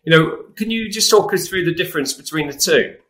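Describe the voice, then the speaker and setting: Bright-voiced male interviewer. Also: on the high side, brisk. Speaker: interviewer, host. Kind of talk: conversational product interview.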